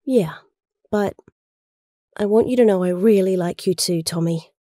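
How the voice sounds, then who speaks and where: A woman acts a lovelorn young heroine in an audio drama. Her voice is soft-toned and mellow, recorded dry. She is outspoken yet earnest and pleading. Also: mid-range voice, a little slow, no echo, close to an everyday voice. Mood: nervous, tender. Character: Kat, lovelorn, outspoken young female hero. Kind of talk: amateur audio drama acting.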